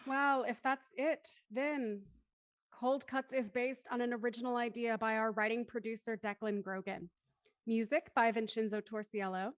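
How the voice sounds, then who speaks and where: A woman's voice: high-pitched, no room sound, studio quality. A woman hosting a casual online panel discussion about a show. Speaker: host of an online audio drama show.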